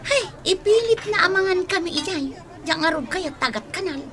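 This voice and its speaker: A high, showy rich wife. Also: female voice, expressive, brisk, a crowd in the background. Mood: boastful. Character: showy well-off wife who loves fine dining. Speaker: radio drama actress. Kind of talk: radio drama acting.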